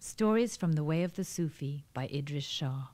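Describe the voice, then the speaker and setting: Female announcer for scripted introductions. Slightly low pitch, dark soft timbre, dry close recording. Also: expressive, normal speed, dry recording.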